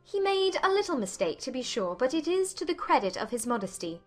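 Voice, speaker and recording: A woman playing a playful, witty young gentlewoman in a dramatized period audiobook, arch and affectionately teasing. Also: sits high, very animated, fairly quick, faint music behind.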